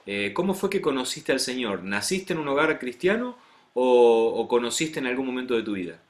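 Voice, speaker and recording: Friendly Argentine pastor host. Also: male voice, medium pitch, sing-song, normal speed. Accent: Argentine Spanish. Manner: friendly, addresses viewers directly. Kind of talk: hosting an interview show.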